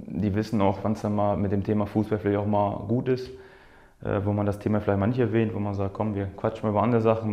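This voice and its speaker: A man's voice: lowish voice, warm tone, dull audio. A man who is a native Polish speaker, answering interview questions casually as a footballer, often searching for words and repeating himself. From born in Poland, grew up in Germany's Ruhr area. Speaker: professional footballer being interviewed. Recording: roomy, echoing sound.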